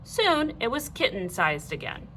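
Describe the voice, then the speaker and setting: A woman reading a children's storybook aloud like a teacher, in an animated, clearly phrased way. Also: on the high side, a little slow, a little hiss or hum, poor audio.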